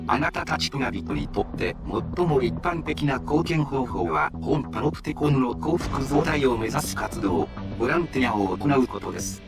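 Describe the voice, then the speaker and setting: An emotionless male android warden, acted by a man, speaks at a middle pitch over loud background music. The delivery is formal, measured and procedural, like official game instructions given to a prisoner under escort. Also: natural voice. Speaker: guide and instructor. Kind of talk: scripted video game dialogue, formal announcements.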